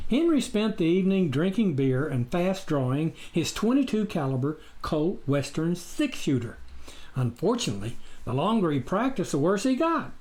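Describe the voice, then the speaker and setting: A man reading his own written story as scripted audiobook narration, in an expressive storytelling manner. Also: sits high. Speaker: author reading his memoir.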